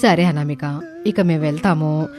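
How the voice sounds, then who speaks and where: A woman voicing a shrewd aunt who feigns sweet regret, expressive, in an animated moral story. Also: deep voice, moderate pace, soft background music, close to an everyday voice. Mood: sly politeness.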